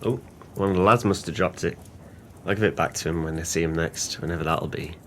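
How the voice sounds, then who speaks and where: A man's voice: low-pitched, a little fast, fairly bright tone, slight background noise. A man playing a drunken, dreamy, gullible former sailor in an audio play, earnest and whimsical. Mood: dejected.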